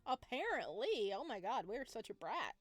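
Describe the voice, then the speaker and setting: Animated, higher-voiced woman gamer. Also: moderate pace, no room sound. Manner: chatty, informal. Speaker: gamer playing and reacting to a visual novel. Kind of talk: casual let's play commentary.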